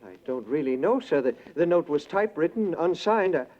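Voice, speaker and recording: Man playing meek, hesitant shopkeeper, animated pitch. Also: brisk, lightly acted. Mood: meek, puzzled. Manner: polite, hesitant. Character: meek, puzzled, deferential florist shopkeeper. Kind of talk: 1940s radio drama acting. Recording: muffled 1940s radio broadcast.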